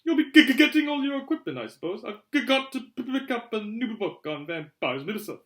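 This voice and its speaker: Nervous young professor for an audiobook, really high voice, stutters on nearly every word. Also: male voice, very animated, a little fast, fairly bright tone, heavily acted. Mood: nervous, frightened. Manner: heavy stutter on first consonants. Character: nervous, trembling, stammering pale young male professor.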